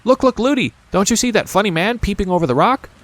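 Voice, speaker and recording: Man reading as a little girl princess, high voiced, eager chatter, lively rise and fall. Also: fast talker. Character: small frightened-curious little girl princess.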